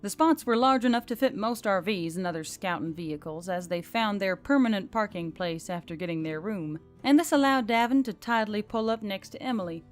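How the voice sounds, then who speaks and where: A woman's voice: medium pitch, a little fast, few pauses. Woman narrating a story over music. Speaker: audiobook narrator. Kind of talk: scripted fantasy story narration. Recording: background music under the reading.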